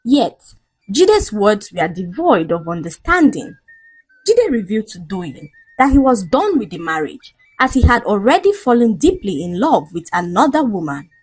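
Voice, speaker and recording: A woman's voice: medium pitch, very animated, moderate pace, darkish tone, husky, poor audio. A woman narrating a folktale in a scripted, dramatic storytelling style.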